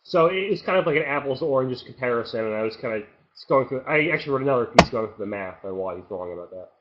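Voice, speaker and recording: A man on a panel, a writer who explains his arguments quickly with many fillers and hedges. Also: medium pitch, expressive, slight background noise. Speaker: guest writer. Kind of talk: casual panel discussion. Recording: dull lo-fi sound.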